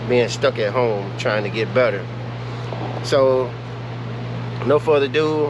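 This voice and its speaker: A man vlogging casually about his vehicle project, talking in a rambling way with lots of filler sounds and restarts. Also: mid-range voice. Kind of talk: casual talk to camera. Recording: noisy, with steady hum behind.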